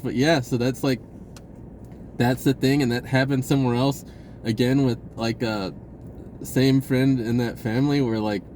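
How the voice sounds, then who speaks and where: A man's voice: medium pitch, darkish tone, a little hiss or hum. A man telling a personal story in a casual, rambling, slangy way and acting out bits of dialogue. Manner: informal slangy speech, acts out dialogue. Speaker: vlogger recounting personal experiences.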